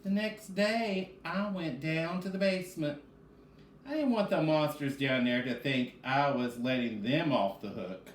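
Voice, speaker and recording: A man's voice: on the high side, a little slow. Man reading to children in an echoey room. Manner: addresses children directly, asks and answers own questions. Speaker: reading specialist, teacher. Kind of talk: children's read-aloud and question-led lesson. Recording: echoey room with faint background noise.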